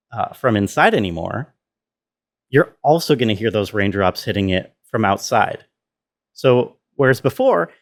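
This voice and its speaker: A man giving a casual tutorial as a sound designer, explaining step by step and checking in with the listener. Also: mid-range voice.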